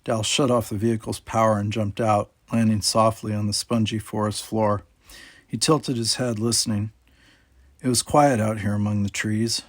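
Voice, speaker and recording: A man's voice: on the low side, no echo. A man reading a children's adventure story aloud as scripted narration. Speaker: audiobook reader.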